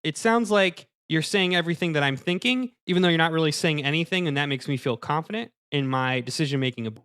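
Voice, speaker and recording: Male podcast moderator, a touch high in pitch, improvised boss banter, mock-earnest and halting. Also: a bit bright, clean tone. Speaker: moderator of a comedy debate podcast.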